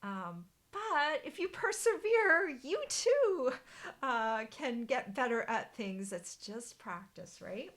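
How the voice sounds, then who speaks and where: A middle-aged woman, German her first language, chatting to viewers in a casual live stream from a bright living room, with many ums and restarts. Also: high-pitched, big pitch swings, slow. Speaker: papercraft demonstrator hosting a live stream.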